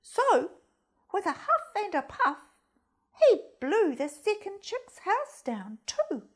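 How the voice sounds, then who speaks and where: A woman storyteller reading a children's storybook expressively, with theatrical, deliberate phrasing. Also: extremely high pitch, wildly swooping pitch. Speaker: storyteller, primary school reader.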